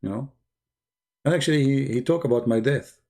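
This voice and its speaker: Male live stream host, mellow dark tone, gravelly rasp, sarcastic, repetitive, off-the-cuff. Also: medium pitch, fairly quick. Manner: teasing, sarcastic, repeats phrases. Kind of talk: rambling religious debate live stream chat.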